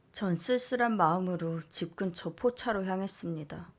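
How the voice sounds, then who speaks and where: 차분하게 사연을 읽는 젊은 여성. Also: female voice, lowish voice. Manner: soft, subdued reading. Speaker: story reader. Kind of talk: first-person story narration.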